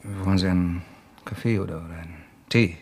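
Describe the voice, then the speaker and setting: A gravelly, insinuating writer-sleuth. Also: male voice, low-pitched, steady tone, a little mellow. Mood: polite, guarded. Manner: deliberate, polite, provoking. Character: male writer, probing and coolly insinuating murder theorist. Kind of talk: German crime radio play acting.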